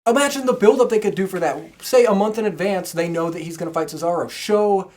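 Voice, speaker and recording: Low-voiced woman hosting a casual podcast. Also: quick pace, darkish tone. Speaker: podcast host. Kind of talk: casual group chat.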